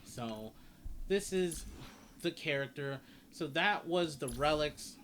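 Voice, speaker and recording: Male gaming guide host, slightly high voice, casual and rambling, self-correcting, faintly noisy home recording. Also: expressive, takes it slowly, a bit bright. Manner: rambling, repeats and corrects himself. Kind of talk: casual game guide commentary.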